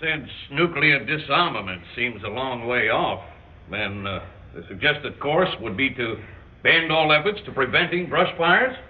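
An actor playing a cautious, probing senator in a mid-century radio drama, speaking formally and carefully. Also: male voice, mid-range voice, animated, measured pace, narrow, tinny audio, lightly acted. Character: cautious, probing male senator questioning a general. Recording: thin band-limited old radio recording.

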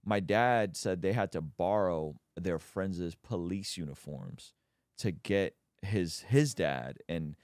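Man, very low voice, animated storyteller, frequent restarts, dry close mic. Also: slow, dry recording. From Azerbaijan, Armenian family. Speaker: podcast host. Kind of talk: casual conversation.

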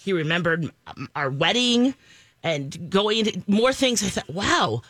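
Female co-host, 30s, relaxed conversational delivery, dry recording. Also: mid-range voice, unhurried, no echo. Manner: relaxed, many fillers and laughs. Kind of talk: casual chat.

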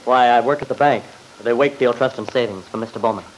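A man plays an earnest young father giving testimony in an old radio drama. He is respectful and a touch hesitant, with an average-pitched voice. Faint noise marks the aged recording. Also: fast talker, lightly acted. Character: earnest, polite young father on witness stand. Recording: noisy vintage radio recording.

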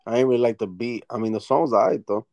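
A man talks in an easygoing, unhurried way, his pitch sitting right in the middle of the range. He cusses freely and often loses his thread, trailing off mid-thought before circling back to his point. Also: fairly even intonation, darkish tone, muffled recording. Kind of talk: casual chat.

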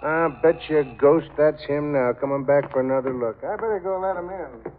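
A man plays a garrulous, folksy old morgue attendant in a vintage radio drama. His voice sits a little high and comes through thin as a telephone, with noise behind it. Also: moderate pace, phone-quality sound, lightly acted. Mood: chatty, matter-of-fact. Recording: noisy, phone-thin old radio recording.

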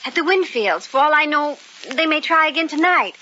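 An actress playing a worried, earnest miss in an old-time radio drama. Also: female voice, high-pitched, animated, brisk, bright, forceful tone. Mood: worried, confiding. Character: worried, earnest young miss reporting a secret ritual. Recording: muffled old radio recording, faint noise.

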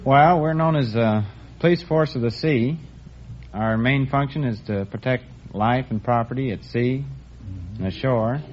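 A man, a quiz show contestant, answering questions and telling a story haltingly, often starting with 'well'. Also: medium pitch. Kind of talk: plain interview answers, telling an anecdote. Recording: lo-fi 1950s radio recording with faint noise.

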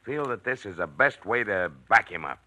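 Jovial middle-aged plant foreman, old time radio, sharp bright voice, rambles chummily, repeats stuff. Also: on the low side, expressive, moderate pace. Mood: chummy. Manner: jovial, repeats his phrases. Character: genial, chatty, oblivious middle-aged factory foreman. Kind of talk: 1940s radio acting.

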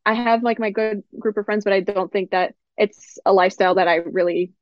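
A woman from Miami, an attorney guest on a podcast, speaking in a relaxed way with many fillers. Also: mid-range voice, fairly quick, no room sound. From Miami, United States. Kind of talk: casual interview chat. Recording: dull, dry lo-fi recording.

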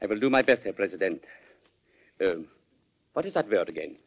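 Courteous German ambassador, swooping pitch. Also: male voice, very animated, heavily acted. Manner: formal, measured, deferential. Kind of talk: old radio drama acting. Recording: dull, band-limited vintage radio recording.

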